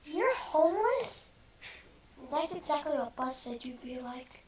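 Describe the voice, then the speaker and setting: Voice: high voice, very animated, unhurried, darkish tone, a little hiss or hum. A performer playing an innocent, pleading little foster boy who longs for his mother, in a screenplay table read. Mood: earnest, pleading.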